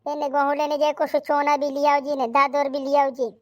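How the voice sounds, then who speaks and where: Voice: high voice, completely flat delivery, quick pace, no room sound, studio quality, close to an everyday voice. A performer voicing a cartoon village farmer who laments in repetitive, doubled words for a regional comedy. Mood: lamenting.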